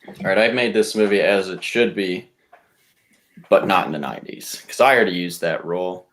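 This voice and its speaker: A man on a podcast casually pitching a movie, with a halting, informal delivery. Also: fairly bright tone.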